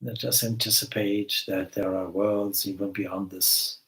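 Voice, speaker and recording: A man leading a guided meditation as a spiritual teacher, giving gentle instructions with long pauses between phrases. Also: on the low side, level tone, rough recording.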